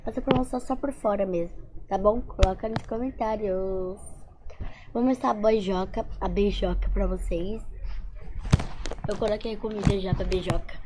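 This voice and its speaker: A young woman, slightly hoarse, rambling through a home vlog and talking right to her viewers. Her pitch sits at a middling height, and there's a faint hiss under the recording. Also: steady tone, normal speed. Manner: chatty, rambling, addresses viewers directly. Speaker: vlogger. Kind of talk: casual vlog chat.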